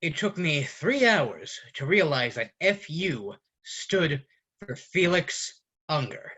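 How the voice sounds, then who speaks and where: Man acting exasperated roommate, slow emphatic stage monologue. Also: fairly high pitch, sing-song, sharp tone, slightly rough, full character voice, rough recording. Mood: exasperated, irritated. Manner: deliberate, emphatic, dramatic pauses. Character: exasperated male roommate ranting at his fussy friend. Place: online video call performance.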